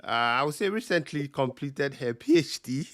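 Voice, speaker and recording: A man with a Ghanaian accent hosting a live stream Q&A over a video call, talking slowly with many ums and uhs. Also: medium pitch, no echo, clean studio sound. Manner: slow, relaxed with frequent ums and uhs. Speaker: host.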